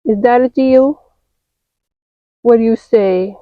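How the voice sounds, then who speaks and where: A woman voicing a gentle, earnest male cartoon mouse who coaxes softly in a toy-play story. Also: on the high side, slow, no echo, narrow, tinny audio. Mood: coaxing. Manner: soft, coaxing and earnest.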